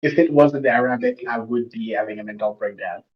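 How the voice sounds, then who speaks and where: A man's voice: medium pitch, fairly quick, heavy background noise. A man playing in a tabletop game, talking in an easygoing, playful way, half in character. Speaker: player. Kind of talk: casual chat at a tabletop game. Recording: dull lo-fi microphone.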